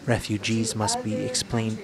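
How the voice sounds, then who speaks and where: A man reading scripted documentary narration in a measured, earnest way. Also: lowish voice, very animated, gravelly, a crowd in the background.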